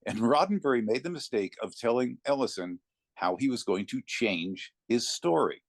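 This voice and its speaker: A man talking conversationally as an interview guest, telling anecdotes in a reflective way with thoughtful pauses. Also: medium pitch, expressive, no room sound, clean studio sound. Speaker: interview guest, narrator of classic readings.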